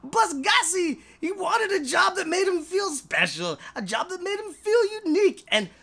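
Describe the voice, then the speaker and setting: An animated storyteller reads a children's bedtime tale with a bright, forceful voice and big swings in pitch. The delivery is theatrical and a little halting, doubling back on words and slipping in jokey asides. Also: vocal fry. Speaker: author reading his own short story.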